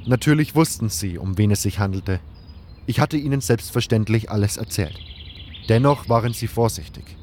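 Ein Mann liest als Ich-Erzähler eines Fantasy-Hörspiels einen geschriebenen Text vor. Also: male voice, on the low side, normal speed, a little mellow, slight background noise. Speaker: narrator and hero of fan audio drama. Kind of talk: scripted first-person story narration.

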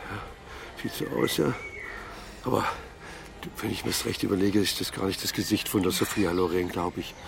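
An actor playing a chatty, bookish bookseller, a detective's good-natured buddy, in a German radio crime drama. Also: male voice, low voice, fairly even intonation, moderate pace, creaky, long pauses, noisy background. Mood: pondering.

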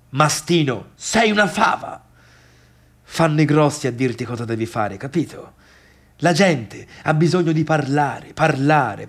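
A man's voice: medium pitch, slightly rough, full character voice. An actor playing a gossipy, needling party guest and domineering friend in a theatre monologue, chatty and insistent, repeating himself and addressing his listeners directly. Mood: sullen, defiant.

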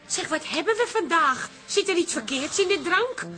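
A no-nonsense barkeeper in a radio comedy. She has a high, lively voice and a chiding, practical manner, with music clearly behind her. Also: moderate pace, heavily acted. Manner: matter-of-fact, chiding. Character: down-to-earth café landlady, peacemaking daughter. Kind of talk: radio comedy drama acting.

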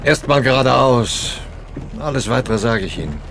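An actor in a radio drama playing an ageing, bitter former opera star in his eighties, gravelly and breathy, theatrical and declamatory, often muttering to himself. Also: mid-range voice, lively intonation, moderate pace, fairly bright tone, slight background noise. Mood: insistent. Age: 80s. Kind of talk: radio play acting.

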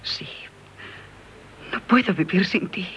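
Devoted young lover, medium pitch, insistent, breathy exclamations, vintage radio play. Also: female voice, slow, a bit bright, whispered, highs cut off. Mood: pleading. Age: young adult. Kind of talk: 1970s radio drama acting. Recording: noisy 1970s radio broadcast recording.

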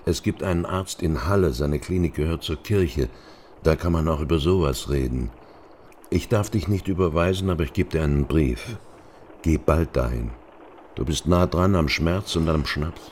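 An actor playing a calm, fatherly older doctor in a German radio drama, speaking in a deliberate, reassuring way. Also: extremely low pitch, slight background noise. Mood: caring, firm.

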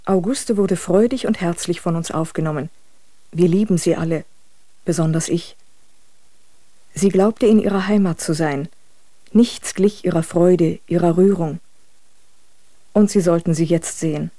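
Gushing letter-writer in a radio play, emphatic, lowish mellow voice, dry studio sound. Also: female voice, a little slow, pauses often, dry recording. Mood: gushing, delighted. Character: effusive woman warmly reporting on a beloved guest. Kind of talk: dramatic letter reading in German radio drama.

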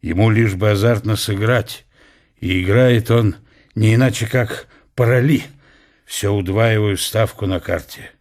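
An actor playing an ailing, aging scholar who muses bitterly and proudly on his country in a radio drama, in a husky, deliberate voice. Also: on the low side, a little mellow. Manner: deliberate, weighty, philosophical musing. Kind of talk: radio play inner monologue. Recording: music behind the voice.

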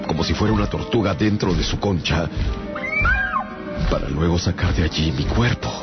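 A man's voice: deep voice, moderate pace, dull audio, over loud music, lightly acted. A man playing a melancholic traveler who narrates his own adventures in a dramatized radio story. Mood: grave, suspenseful. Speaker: narrator and lead actor.

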